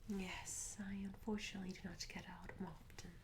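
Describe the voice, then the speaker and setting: A charming young tavern maid, acted by a woman in a fantasy ASMR roleplay. She speaks in a soft, breathy half-whisper, courteous and coy, with gentle storybook phrasing. The mic is close, with clear noise and small clicks around the voice. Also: medium pitch, flat delivery, normal speed, lightly acted. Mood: coy, curious. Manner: gentle, polite, old-fashioned storybook phrasing. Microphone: close ASMR microphone.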